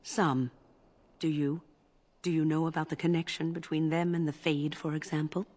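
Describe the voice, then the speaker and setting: An actress playing a composed, wise older female mage in a video game, measured and scholarly with a gently wry touch. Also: sits low, steady tone.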